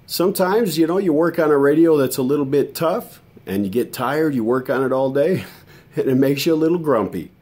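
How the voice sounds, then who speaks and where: A man's voice: a little high, brisk, darkish tone, breathy edge. An American man chatting casually to viewers as a hobbyist host, in a folksy, conversational way. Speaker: hobbyist radio restorer and video host. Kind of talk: casual vlog chat to viewers.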